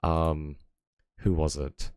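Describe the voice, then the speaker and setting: Deep voiced guy streaming from home, chatty and rambly, lots of ums, dry close mic. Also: level tone, slow, a little mellow, clear, resonant tone, dry recording. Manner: rambling, lots of fillers and chuckles. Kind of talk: casual stream chat.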